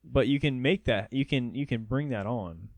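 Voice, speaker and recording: A man's voice: mid-range voice, moderate pace, dry recording. A young man from North Georgia, co-hosting a podcast in a rambling, casual way with many restarts. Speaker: podcast co-host. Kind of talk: casual chat.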